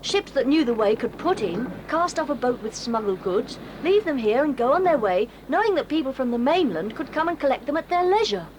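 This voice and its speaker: Woman playing a bossy earnest schoolboy leader, high and bouncy, loud tape hiss. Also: big pitch swings, fairly quick, few pauses. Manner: earnest, bossy, takes charge. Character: sensible boy, eldest leader of children. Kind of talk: 1980s audio drama acting. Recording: hissy old cassette tape.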